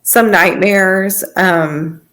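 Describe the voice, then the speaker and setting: A woman speaking as an interview guest, giving casual personal testimony in halting, trailing phrases. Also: medium pitch, fairly even intonation, fairly bright tone. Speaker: podcast guest sharing testimony.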